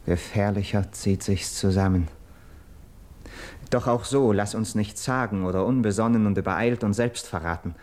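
An actor playing an urgent, persuasive young nobleman of Greek myth in a classical verse radio drama, with declamatory, measured stage diction. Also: lowish voice, darkish tone, close to an everyday voice. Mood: resolute, instructing.

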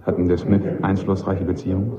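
A man's voice: low voice, completely flat delivery, fast talker, crowd noise, close to an everyday voice. A man playing a courteous, guarded army captain and defence counsel in a German radio play, polite and restrained with a wry edge. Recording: muffled radio drama recording with clear background noise.

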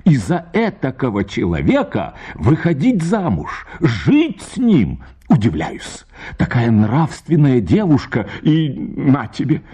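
A man's voice: medium pitch, warm tone, husky, voice pushed up, exaggerated voice. A man voicing an indignant, naive young wife who moralizes emphatically in a dramatized short story.

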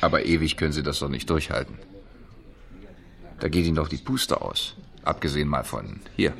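A man's voice: a bass voice, moderate pace, vocal fry, fairly bright tone, full character voice. An actor playing a streetwise, pushy laid-off textile man in a radio crime drama, talking in breezy slang. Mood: breezy, chummy. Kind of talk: 1970s German radio crime drama acting.

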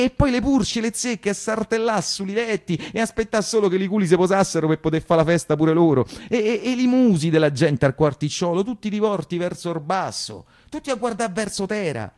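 A man's voice: sits high, darkish tone. An actor playing a streetwise Roman man who recalls his wartime boyhood in a theatrical dialect monologue, emphatic and full of repetitions. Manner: breathless, repetitive, emphatic working-class storytelling. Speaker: actor and narrator in radio theatre.

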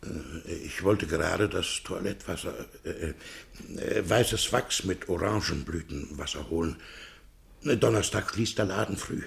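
Meek, gravelly, low-voiced husband. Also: male voice, unhurried, heavily acted. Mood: meek, hesitant. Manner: hesitant, stammering, deferential. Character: meek, flustered, devoted middle-aged husband. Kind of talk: German radio play acting.

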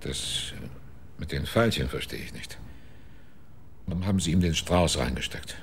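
An actor playing a weary, terse detective in a German radio drama, dry and matter-of-fact in delivery. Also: male voice, a bass voice, animated, normal speed, vocal fry, a little hiss or hum, full character voice. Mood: puzzled. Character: weary, terse male detective wanting his fee.

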